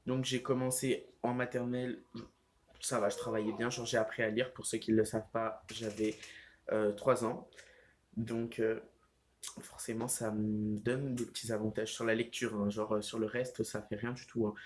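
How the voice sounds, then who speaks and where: A man's voice: fairly low pitch, level tone, moderate pace, slight background noise. A teenage boy talks casually to his viewers from home, rambling through his thoughts with many fillers and restarts. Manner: rambling, heavy use of fillers like "genre" and "euh". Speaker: vlogger. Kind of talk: casual vlog chat.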